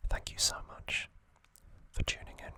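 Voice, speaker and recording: A man whispers as the host of an ASMR recording. His voice is fully unvoiced and breathy, with a bright, sibilant edge. He takes his time, speaking very slowly and gently with many pauses. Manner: slow, gentle, pausing delivery.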